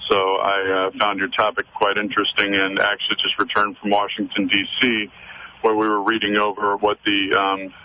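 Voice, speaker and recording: A man's voice: fairly low pitch, completely flat delivery, normal speed, pushed and bright. A man calling in to a radio show from his car over a phone line, talking earnestly with frequent hesitations and fillers. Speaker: caller. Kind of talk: call-in radio conversation. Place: in a car, driving. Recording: muffled phone call with faint road noise.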